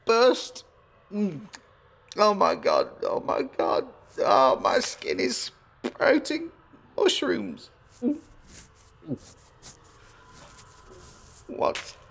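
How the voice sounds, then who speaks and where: A man's voice: fairly high pitch, gravelly, fairly bright tone, pitched above the performer's own voice, full character voice. A man playing an anxious paranormal investigator recording himself in a horror audio drama. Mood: horrified disbelief.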